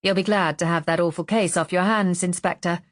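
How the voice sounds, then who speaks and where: A woman's voice: on the low side, steady tone, a little fast, no echo, clean studio sound, natural voice. A woman voicing a reserved, uneasy married society woman in an audiobook, polite and guarded in manner. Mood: guarded politeness.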